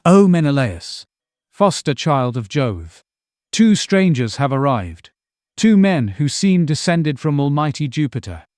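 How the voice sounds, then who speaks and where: A man's voice: a little high, very animated, a little slow, darkish tone, dry recording, clean studio sound. An actor playing a trusty, deferential palace servant addressing his king with formal, declamatory phrasing in an epic audio drama. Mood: deferential, urgent.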